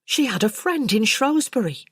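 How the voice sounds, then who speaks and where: A woman's voice: on the high side, lively intonation, normal speed, husky, no echo, clean studio sound, voice pushed up. Woman putting on an artless, talkative maidservant. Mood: earnest. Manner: naive, colloquial.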